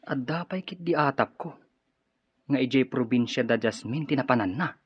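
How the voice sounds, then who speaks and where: A man's voice: medium pitch, normal speed, slightly rough, no room sound, muffled recording, natural voice. A man acting as a gossiping, insinuating male office informant in an Ilocano radio drama.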